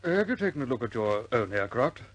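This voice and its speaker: An actor playing a concerned senior air force commander in a radio war drama, reserved and halting but quietly authoritative. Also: male voice, medium pitch, big pitch swings. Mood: probing. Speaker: commanding officer.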